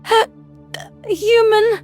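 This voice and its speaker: A man voicing a small, frightened female rodent-like alien, squeaky and croaky, stammering weakly in an audio story. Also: little pitch movement, faint music behind, heavily acted. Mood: dazed. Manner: halting, stammering.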